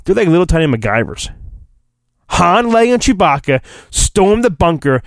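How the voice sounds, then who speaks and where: A man's voice: high-pitched, big pitch swings, moderate pace, no echo, studio quality. A man hosting solo, reading a storybook in mock-dramatic fashion with rambling, sarcastic asides. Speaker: solo podcast host.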